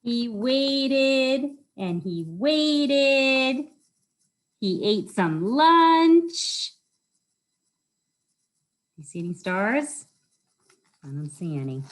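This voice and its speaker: Slow-paced woman hosting children's storytime. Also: sits high, very animated. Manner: simple explaining, frequent questions to young listeners. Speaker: library storytime host for children. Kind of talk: children's storytime, reading aloud and chatting with questions.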